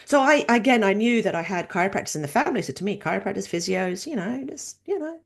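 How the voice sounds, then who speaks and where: Woman in her 50s, from Norway, lively storyteller, interview guest. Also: mid-range voice, fast, gravelly. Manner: animated storytelling, acts out quoted voices. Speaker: guest chiropractor and researcher. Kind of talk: casual interview chat.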